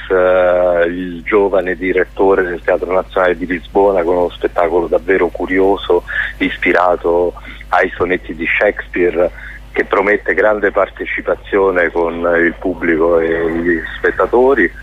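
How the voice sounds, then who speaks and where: A man speaking as a guest in a radio interview, talking in a halting way with many fillers and restarts. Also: low voice, little pitch movement, breathy edge. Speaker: festival director guest. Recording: dull lo-fi radio sound.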